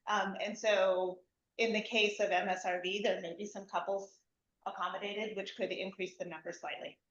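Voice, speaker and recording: A woman official answers a question at a community meeting, explaining policy in a steady, measured way. The room is echoey and the sound is dull. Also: medium pitch.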